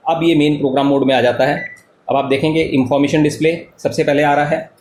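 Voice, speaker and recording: A man's voice: a little high, darkish tone. A man with an Indian accent giving a step-by-step explanatory product tutorial, repeating key points as he goes. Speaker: product demonstrator. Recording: echoey room with clear background noise.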